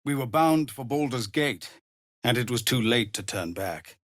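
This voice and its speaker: A middle-aged man playing a weary, dutiful tiefling commander of refugees in a video game, speaking formally and earnestly with measured authority. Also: medium pitch, expressive, dry recording, clean studio sound, close to an everyday voice. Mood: grave, earnest. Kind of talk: dramatic video game voice acting.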